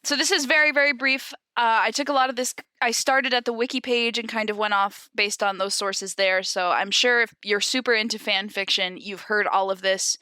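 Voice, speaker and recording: A woman's voice: on the high side, fairly even intonation, moderate pace, few pauses, pushed and bright, clean tone, no echo. A woman co-hosting a podcast, casually explaining from notes in a giggly, conversational way with loose asides. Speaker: podcast co-host. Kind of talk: casual informal explainer chat, reading from notes.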